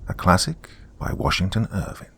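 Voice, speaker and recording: A British man hosting and narrating a horror podcast, reading scripted material with dramatic, deliberate, emphatic phrasing. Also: low voice, very animated, vocal fry, a bit bright, breathy edge.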